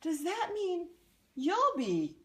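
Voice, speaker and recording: A woman voicing a small, friendly troll who gasps, gushes and repeats itself in excitement. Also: extremely high pitch, slow, echoey room, pitched above the performer's own voice, heavily acted. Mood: excited. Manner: gasping, eager, repeating itself. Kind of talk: playing pretend voices.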